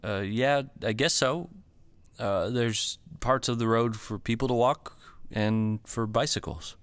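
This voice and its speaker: A man's voice: medium pitch, a little slow, smooth, dry recording, close to an everyday voice. A man acting in a radio play as a home owner, exasperated and swearing at his device. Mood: plain.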